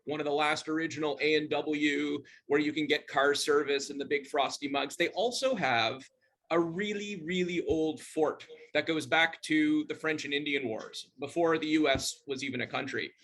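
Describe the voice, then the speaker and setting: A man's voice: fairly high pitch, moderate pace, fairly bright tone. A middle-aged man, casually telling a personal story on a video call. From Montreal, Canada. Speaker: club member telling a personal ghost story.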